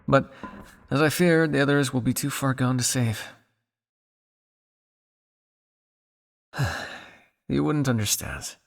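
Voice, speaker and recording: A man playing a weary, guilt-ridden old vampire scientist in an ASMR roleplay, speaking to the listener in a measured, dryly formal way. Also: medium pitch, vocal fry, big-room sound, close to an everyday voice. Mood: grave, regretful.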